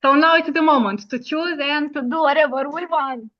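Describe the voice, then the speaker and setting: A woman's voice: high-pitched, normal speed, highs cut off. A woman moderating an informal webinar, speaking politely and a little hesitantly in non-native English.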